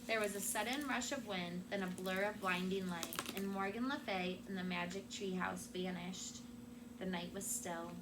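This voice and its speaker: A woman with a medium-pitched voice reads to children as their teacher. Her narration is expressive and story-like. The recording carries clear noise and room ambience. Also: moderate pace. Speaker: classroom teacher.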